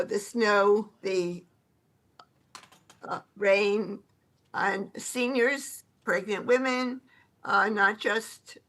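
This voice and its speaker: A woman gives public comment at a meeting, speaking very slowly. She pauses often and fills the gaps with "uh" as she lists her requests. Her voice is at an ordinary middle pitch. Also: a bit bright. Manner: slow, with many pauses and fillers.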